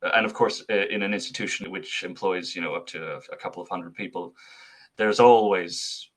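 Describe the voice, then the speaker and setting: Low-voiced Swiss-born man, thoughtful. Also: fairly quick. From Switzerland. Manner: thoughtful, articulate, with frequent fillers and restarts. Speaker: associate artist manager, guest. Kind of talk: interview answers.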